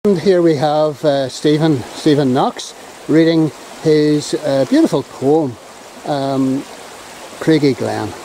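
A man with a Belfast accent hosting a casual outdoor vlog, with hesitant, drawn-out phrasing. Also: a little high, poor audio. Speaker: vlog host. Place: outdoors in a wooded glen beside a stream. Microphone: handheld camera mic, behind the camera. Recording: loud rushing stream noise outdoors.

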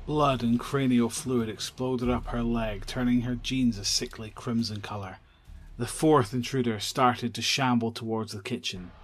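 A man narrating a horror audio drama in a measured, descriptive storytelling manner. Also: medium pitch, fairly even intonation.

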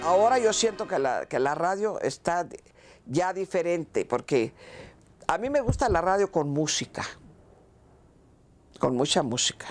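Man chatting in interview, highish voice, stop and start, music behind. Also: very animated. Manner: halting, repeating words. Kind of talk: casual interview talk.

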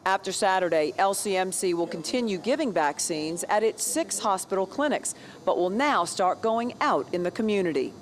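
A woman's voice: medium pitch, animated, normal speed. Polished woman reporting live on location. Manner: polished broadcast delivery. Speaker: TV news reporter. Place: indoor convention hall, live on location. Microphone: handheld station mic.

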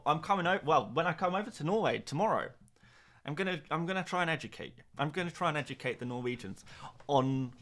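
A man's voice: medium pitch, a bit bright. A young man hosting a livestream, chatting casually and reading out viewer comments in a playful, rambling way. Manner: playful, rambling, lots of restarts and laughs. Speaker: livestream host.

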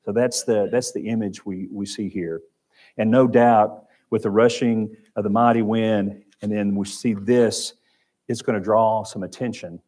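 A man's voice: fairly low pitch, fairly even intonation, a little slow, mellow. A man with an Oklahoma accent teaching a Sunday Bible class in a church room, talking conversationally and repeating phrases. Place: church classroom with projector screen.

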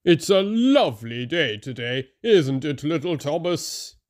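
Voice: mid-range voice, very animated, a little slow, darkish tone. A storybook narrator voicing a big, cheerful male engine close to the narrating voice.

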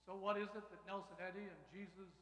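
A man's voice: high voice, fairly even intonation, quick pace, a bit bright. An older man preaching a sermon to his congregation in a large church, telling a story with wry asides and acting out the voices he quotes. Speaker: pastor. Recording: echoey church with long reverb.

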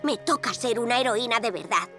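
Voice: medium pitch, animated, brisk, natural voice. An actor playing an earnest, kind young superhero girl in a children's cartoon dub, sincere and determined, with music underneath.

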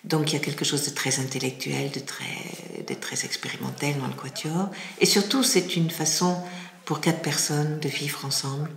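A woman with a slight, hard-to-place accent in French, giving reflective interview answers haltingly, often pausing to search for words. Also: low-pitched, steady tone, gravelly. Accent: slight, hard-to-place foreign accent in French. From France. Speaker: classical music impresario being interviewed. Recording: roomy, echoing indoor sound.

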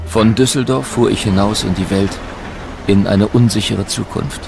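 Earnest miner telling his memoir, gravelly lowish voice, solemn, music under it. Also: moderate pace. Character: sober, earnest miner recalling his life. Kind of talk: read memoir in a historical radio documentary.

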